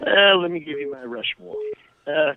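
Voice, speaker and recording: A man's voice: a little high, expressive, normal speed, dry recording. A man with a Boston accent calling in to chat about sports in a joking, profane, mock-serious way over a muffled phone line. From Boston area, United States. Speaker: call-in sports guest. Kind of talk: casual comedic chat. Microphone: phone call. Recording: muffled voice call, radio-like.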